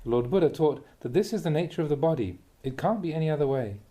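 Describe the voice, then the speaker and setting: A man reading a Buddhist teaching aloud as a Dhamma talk, in measured, deliberate phrases with emphatic contrasts. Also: fairly high pitch, animated, mellow.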